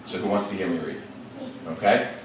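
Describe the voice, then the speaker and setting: A male teacher leading an interactive read-aloud, questioning his class in a lively, engaging way. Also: sits low, a little fast. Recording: echoey classroom, distant mic, noisy.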